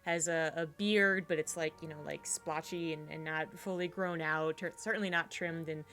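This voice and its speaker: A woman's voice: sits low, steady tone, normal speed, fairly bright tone. A woman narrating a tabletop horror game as game master, rambling with fillers and restarts.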